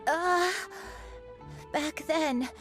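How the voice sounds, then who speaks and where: A woman playing a frail, gentle grandmother in a video game, halting and wistful as if her memory is failing. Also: high-pitched, faint music behind, full character voice. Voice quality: creaky, soft elderly voice.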